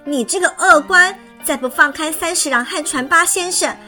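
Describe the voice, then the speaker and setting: A woman's voice: moderate pace, bright, forceful tone, soft background music, heavily acted. A woman voicing indignant, mocking insect villagers in a children's story. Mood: angry. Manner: shrill, jeering.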